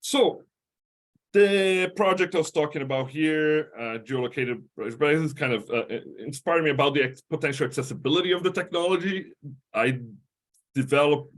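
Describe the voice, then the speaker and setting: Middle-aged guy w a portuguese accent giving a lecture, lots of uhs and restarts, keeps saying right?. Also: on the high side. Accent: Portuguese-speaking. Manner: halting, many fillers and restarts, tag questions. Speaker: history professor giving a talk. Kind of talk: academic lecture.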